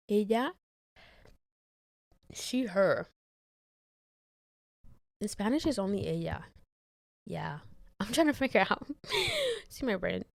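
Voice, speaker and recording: A woman's voice: medium pitch, no room sound. A young woman from the Dominican Republic hosting her own podcast from her bedroom, chatting candidly with frequent swearing and restarts. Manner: candid, swears freely, trails off and restarts, laughs often. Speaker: podcast host. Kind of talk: rambling solo podcast chat. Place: bedroom, sitting on bed. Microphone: handheld mic.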